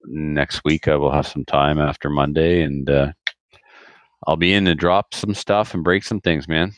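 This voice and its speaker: A man with a very deep, smooth voice hosts a casual podcast. His delivery is joking and self-deprecating, and the sound is dry and close. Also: steady tone, a little slow, no room sound. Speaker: podcast host.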